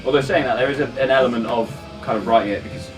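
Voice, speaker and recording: A man, a band guitarist, chatting casually about his album in a halting way, repeating words as he goes. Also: medium pitch. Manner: hesitant, repeats words, chuckles often. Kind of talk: casual chat. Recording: distant mic, guitar music behind. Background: band's album track playing.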